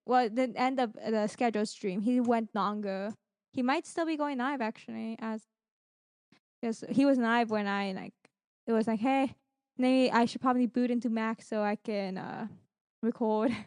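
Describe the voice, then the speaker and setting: A woman with a fairly high, very smooth and clean voice co-hosts a casual podcast. She rambles through her stories conversationally, quoting people as she goes. The sound is close and dry. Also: moderate pace, dry recording. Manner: rambling, animated retelling with acted-out quotes. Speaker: podcast co-host. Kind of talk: casual chat about gaming streams and giveaways.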